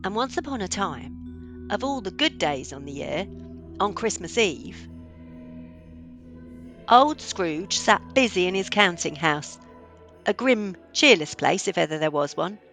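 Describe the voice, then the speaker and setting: A woman narrating a radio play as a storyteller, deliberate and expressive in her dramatic delivery. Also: on the low side. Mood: storytelling. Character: storyteller narrator of a Victorian Christmas tale. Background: music.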